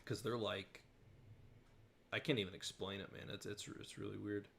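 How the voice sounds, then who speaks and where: A man's voice: on the low side, fairly even intonation, moderate pace, vocal fry, fairly bright tone, a little hiss or hum. A man from the southern United States hosting a casual livestream Q&A, chatty and rambling, laughing often. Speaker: host.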